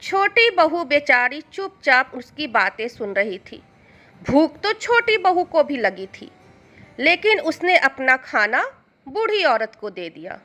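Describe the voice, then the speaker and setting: A woman's voice: high voice, very animated, slow pace, pushed and bright. A woman narrating a scripted moral storybook tale as the storyteller.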